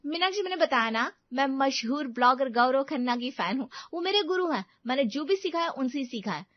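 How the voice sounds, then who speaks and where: A woman's voice: high voice, animated, fairly quick, no echo. A woman voicing a chatty, ambitious young bride who vlogs to camera in a cartoon story. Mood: persuasive. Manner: bubbly, talking to camera. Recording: dull dry recording.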